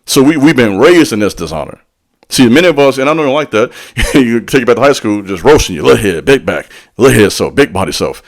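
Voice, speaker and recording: A man's voice: mid-range voice, animated, quick pace. A man giving a motivational spiritual talk in a preacherly way. He speaks directly to the listener in slang and drops call-and-response asides between points. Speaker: spiritual teacher.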